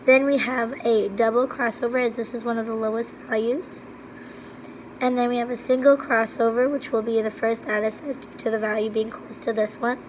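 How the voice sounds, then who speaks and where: Hoarse woman explaining calculations. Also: on the high side, brisk, slight background noise, rough recording. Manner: steady, methodical, step-by-step. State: hoarse from laryngitis. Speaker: student presenter. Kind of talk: recorded slide walkthrough.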